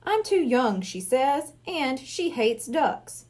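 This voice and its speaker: Woman reading as a stubborn little brother, high-pitched. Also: very animated, slow, a little mellow, full character voice. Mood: defensive. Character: young boy Brian, stubborn, carefree, defensive.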